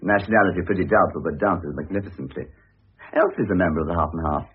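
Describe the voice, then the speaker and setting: Deep, husky British son on tinny old radio. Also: male voice, fast. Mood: surprised, gossipy. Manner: emphatic, insistent, moralising. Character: opinionated, priggish, eager adult son. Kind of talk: vintage British radio drama acting.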